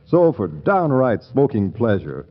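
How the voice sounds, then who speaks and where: A man's voice: medium pitch, huge pitch swings, a little slow, lo-fi sound. A man reading a polished, scripted 1940s radio advertisement, on an old recording. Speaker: commercial announcer.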